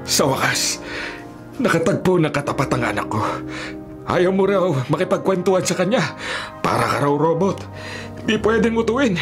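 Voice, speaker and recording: A man's voice: high-pitched, very animated, normal speed, pauses often, over music. A Filipino-accented man playing a middle-aged town mayor, a genial but authoritative father, in a radio drama. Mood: pleased, amused.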